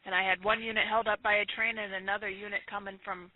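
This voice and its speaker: A woman's voice: medium pitch, flat delivery, fast talker, no echo. A woman dispatcher on a work phone call, talking in a casual, matter-of-fact way. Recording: narrow muffled phone line.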